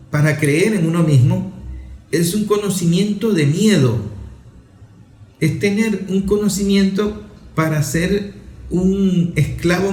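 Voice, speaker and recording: A man's voice: high-pitched, darkish tone, echoey room, rough recording. A man giving an emphatic opinion monologue, listing his points and asking rhetorical questions.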